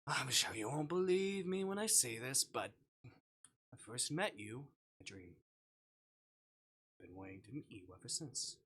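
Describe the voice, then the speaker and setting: A man's voice: medium pitch, animated, unhurried, long pauses, a bit bright. A man voicing a mysterious male dream visitor from a visual novel, aloof, teasing and easily annoyed, with a dry, curt delivery. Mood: quiet, earnest. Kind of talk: visual novel character reading.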